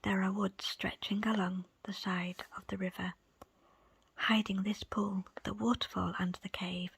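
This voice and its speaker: A woman reading a book in a breathy ASMR whisper, with soft, unhurried, deliberate phrasing. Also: mid-range voice, little pitch movement, no echo. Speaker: book presenter and reader.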